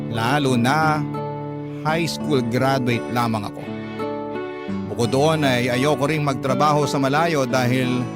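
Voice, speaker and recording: Young male narrator actor, radio drama confession: earnest, confiding, medium pitch, prominent guitar bed. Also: close to an everyday voice. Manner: sincere, confiding. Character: earnest young man confiding his life story. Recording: guitar music behind the voice.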